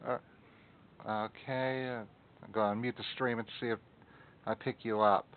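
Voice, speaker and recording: A man's voice: lowish voice, steady tone, moderate pace, a little hiss or hum. A man hosting a live stream, chatting casually and muttering and swearing while he fixes technical trouble at his desk mic. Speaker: stream host. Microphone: desktop mic. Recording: narrow phone-like sound.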